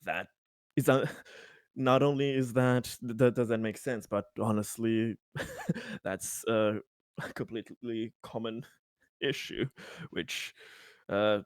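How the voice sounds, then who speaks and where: A man improvising casually at a tabletop roleplaying game, halting and stammering, with wordy phrasing. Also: medium pitch, no echo, clean studio sound.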